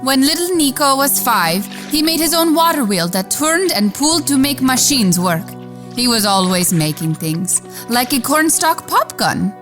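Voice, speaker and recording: A woman playing a fond mother who recalls her son in expressive, storybook-like radio drama narration. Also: high voice, measured pace. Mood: amused pride. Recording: music behind the voice. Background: music.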